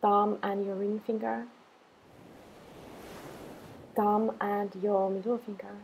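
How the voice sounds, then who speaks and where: A woman teacher leading a guided meditation in a calm, unhurried manner, giving simple instructions with gentle pauses. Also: medium pitch, vocal fry, a little hiss or hum.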